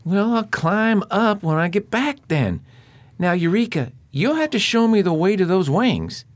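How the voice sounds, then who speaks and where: Woman as a brave, animated farm boy. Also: mid-range voice, unhurried, close to an everyday voice. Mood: determined. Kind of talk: radio play acting.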